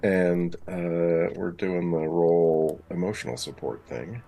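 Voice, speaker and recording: A man's voice: deep voice, takes it slowly, creaky, dark tone. A man playing a tabletop roleplaying game, chatting casually and improvising as he goes. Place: remote online call.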